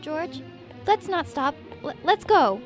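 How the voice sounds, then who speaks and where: Woman acting young bride type, high lilting voice, very expressive, radio drama with music under it. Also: takes it slowly. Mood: pleading. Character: tender, devoted young sweetheart and bride.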